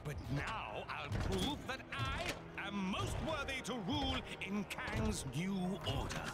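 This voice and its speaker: Pompous game villain king, theatrical, slow delivery with huge melodic swings, scheming and grand. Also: high voice, very animated. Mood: boastful. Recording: loud music under the voice.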